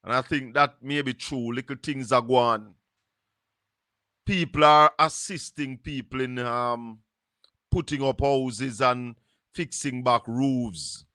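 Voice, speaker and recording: A Jamaican man with a Jamaican accent hosting a live stream, musing slowly and slipping into patois. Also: mid-range voice, sharp tone, no room sound, studio quality. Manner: slow, musing, drops into patois. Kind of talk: casual live-stream commentary.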